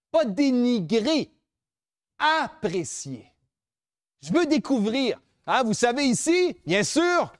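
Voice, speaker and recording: High-voiced New Brunswick French man speaking slowly. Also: clear, resonant tone, no room sound, studio quality. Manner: expressive, switches between French and English, rhetorical questions. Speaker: keynote speaker on French-language education. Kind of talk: animated motivational speech to an audience.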